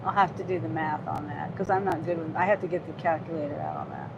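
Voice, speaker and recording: A woman's voice: low voice, lively intonation, quick pace, vocal fry, dull audio. Chatty woman in her fifties, noisy car. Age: 50s. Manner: chatty and rambling, with frequent restarts. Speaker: gig delivery driver livestreaming. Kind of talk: casual live-stream chat reading viewer comments.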